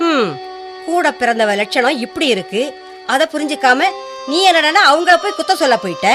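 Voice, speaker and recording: A woman playing a middle-aged, worried and reproachful mother in a Tamil radio drama, expressive and admonishing. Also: high-pitched, normal speed. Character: worried, reproachful mother of a hot-headed son. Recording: faint music bed under the voice.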